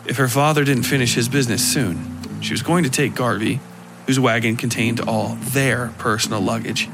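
A man reading scripted dark fiction aloud as its narrator. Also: mid-range voice, steady tone, moderate pace, vocal fry, music in the background.

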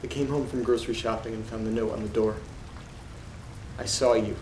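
A man acting in a horror audio drama as an uneasy letter reader, telling things in a subdued, measured way with dramatic pauses. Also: on the low side, little pitch movement, a little mellow, natural voice. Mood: somber, ominous. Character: uneasy man reading creepy letters aloud alone. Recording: roomy sound with rain and noise. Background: rain and thunder ambience.

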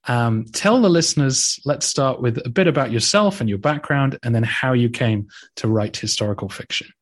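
A man hosting a history podcast, reading a measured introduction and then interviewing a guest. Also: mid-range voice, expressive. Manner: measured, occasional um and uh. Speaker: podcast host.

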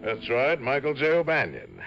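Casting: smooth, salesmanlike crook in his fifties, medium pitch, dull vintage radio sound. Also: wildly swooping pitch, normal speed. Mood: smooth, businesslike. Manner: genial, salesmanlike. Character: smooth, obliging fifty-ish male crooked drug importer. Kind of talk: 1950s-60s radio drama acting. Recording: dull old radio broadcast recording.

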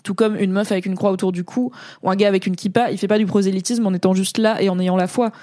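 Casual streamer, woman from France, slangy and hesitant, mid-pitched, close dry mic. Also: steady tone, fast, mellow, no echo. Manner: slangy, rambling, with frequent hesitations. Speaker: streamer reading chat and Reddit comments. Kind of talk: casual spoken commentary.

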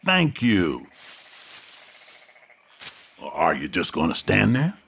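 A gruff police detective from serialized audio fiction. His voice is low and full of fry, swinging widely in pitch. He talks in curt, stubborn, deadpan bursts, a grump who works alone. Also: takes it slowly, slight background noise, full character voice. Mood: curt, irritated.

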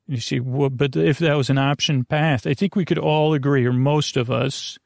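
A man hosting a bedtime podcast in a gravelly, creaky voice, rambling haltingly, trailing off and circling back. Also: medium pitch, steady tone, darkish tone, no room sound, studio quality. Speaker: podcast host.

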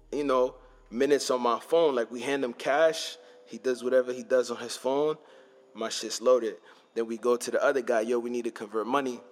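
A young man from Montreal tells stories in a loose, slangy way and slips into quiet asides. His pitch sits in the middle range. Faint music plays under him. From Montreal, Canada. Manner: loose slangy storytelling, drops to low asides. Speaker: podcast host. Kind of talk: casual storytelling.

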